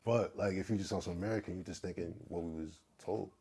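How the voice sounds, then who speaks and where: Low husky dude rambling on a podcast, slangy and loose. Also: male voice, quick pace, warm tone. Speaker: podcast guest, rapper. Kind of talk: casual conversation.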